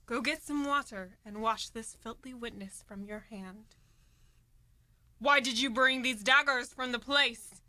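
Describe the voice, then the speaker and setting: A woman's voice: on the high side, pushed and bright, low-quality recording. A woman acting a scornful, scheming noblewoman in a Shakespearean radio play, speaking verse with commanding urgency. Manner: commanding, dramatic, urgent Elizabethan verse delivery.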